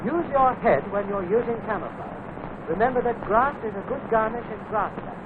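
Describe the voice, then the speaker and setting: High-voiced british guy doing clipped 1940s army film narration, lots of lilt, tinny old soundtrack. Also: expressive, moderate pace. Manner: instructive, clipped, addressing soldiers directly. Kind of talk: scripted 1940s military instructional narration. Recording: tinny, noisy vintage wartime film soundtrack.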